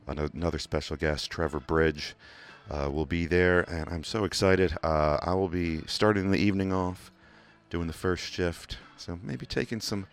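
A man with a Kentucky accent hosting a community radio music show from a studio, talking in a relaxed, rambling way with many "uh" fillers and restarts. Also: extremely low pitch. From England. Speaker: radio DJ host. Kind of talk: casual radio DJ talk. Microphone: studio microphone.

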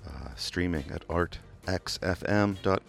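A very deep-voiced man with a Kentucky accent hosts a community radio show from the studio. He talks in a loose, rambling way, full of "uh"s and restarts, like he is chatting between records. Faint music sits low behind his voice. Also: a little slow, gravelly. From England. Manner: relaxed, rambling, many "uh" fillers and restarts. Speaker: radio DJ host. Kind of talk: casual radio DJ talk. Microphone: studio microphone.

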